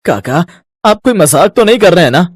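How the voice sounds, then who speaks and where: An earnest poor village vendor, acted by a man, speaks in a high, very lively voice. He sounds pleading and a little husky, in dramatized storybook style. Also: fairly quick, no echo, exaggerated voice. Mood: dejected, puzzled. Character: earnest, troubled poor mint seller. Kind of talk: Hindi moral story voice acting.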